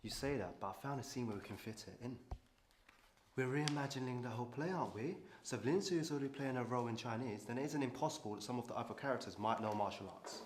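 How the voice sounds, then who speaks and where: A man's voice: mid-range voice, fast, echoey room, slight background noise, close to an everyday voice. A man playing an ambitious actor who is eager to persuade. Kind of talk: short film acting.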